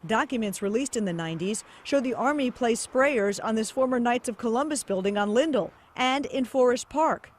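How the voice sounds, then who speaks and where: High-voiced American woman news reporter. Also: animated, normal speed, hardly any pauses. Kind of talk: scripted news report voice-over.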